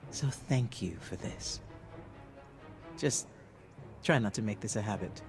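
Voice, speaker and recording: A man's voice: fairly low pitch, lively intonation, measured pace, soft background music. A man playing a candid, loyal, thoughtful ally in video game dialogue, conversational and sincere. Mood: cautious gratitude.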